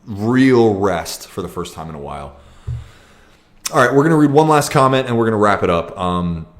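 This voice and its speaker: Young guy with a real low voice, chatting slow and thoughtful on a livestream, lots of ums. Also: sing-song. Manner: reflective, halting with frequent ums and restarts. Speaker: livestream host. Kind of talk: casual livestream Q&A, reading comments.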